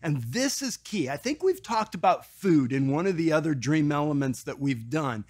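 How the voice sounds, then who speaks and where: A man's voice: on the high side, normal speed, breathy edge, no echo. Upbeat man teaching to camera, dry sound. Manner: animated, gesturing, conversational with restarts. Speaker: ministry teacher on dream interpretation. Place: small room with shelves, indoors.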